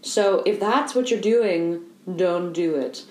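Calm, lower-voiced woman voice coach. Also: takes it slowly, echoey room, low-quality recording. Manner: calm, explanatory, guides listeners through exercises. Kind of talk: instructional voice lesson.

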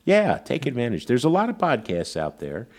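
A man's voice: medium pitch, normal speed. A man co-hosting a podcast in casual, jokey chat. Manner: jokey, animated. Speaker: podcast co-host.

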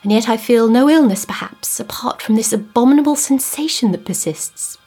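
A woman reading the part of a troubled, grieving widower in an audiobook ghost story, anxious and earnest, sometimes dropping to a hush. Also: fairly high pitch, normal speed, husky, faint music behind. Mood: uneasy. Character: troubled grieving widower, man, middle-aged, uneasy.

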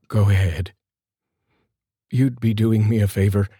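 A man's voice: on the low side, fairly even intonation, a little slow, dark tone, slightly rough, dry recording, studio quality. A man voicing a despairing, guilt-ridden drunk who speaks in resignation.

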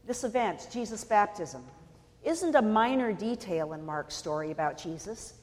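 A woman from California preaching a sermon in a measured, deliberate way, pausing for emphasis. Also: mid-range voice, sing-song, darkish tone, long reverb tail. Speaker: pastor.

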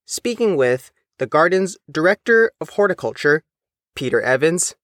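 A man reading a scripted news feature as the reporter, in a measured, careful way. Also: a little high, very animated, a bit bright, dry recording, studio quality. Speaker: reporter and narrator.

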